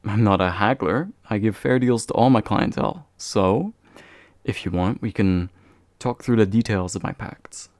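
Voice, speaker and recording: A young man acting as a courteous, gentle demon of envy in a soft-spoken ASMR roleplay, formal and soothing with occasional hesitation. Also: on the low side, normal speed, no echo, studio quality, natural voice. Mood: reassuring, businesslike. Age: young adult. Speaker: voice actor.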